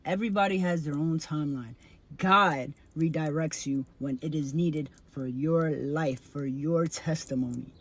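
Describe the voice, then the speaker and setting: A woman's voice: low voice, unhurried, husky. A woman in her twenties giving an earnest, plain-spoken personal testimony. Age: 20s. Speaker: vlogger, licensed funeral director.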